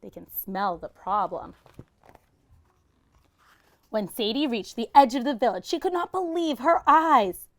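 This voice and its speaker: Slow, high-voiced woman reading to children. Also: lively intonation. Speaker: teacher reading a picture book aloud. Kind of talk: expressive children's story reading.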